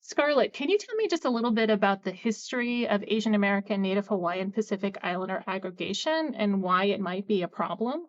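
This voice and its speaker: A woman's voice: medium pitch, animated, a little fast, hardly any pauses, muffled recording. A woman guest-hosting a podcast who asks encouraging questions. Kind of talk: interview host.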